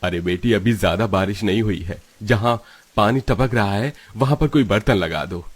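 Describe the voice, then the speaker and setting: A man's voice: lowish voice, moderate pace, lightly acted. A man playing a tired, kindly poor village father and labourer in a cartoon story. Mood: practical, urgent. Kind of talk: Hindi cartoon dialogue.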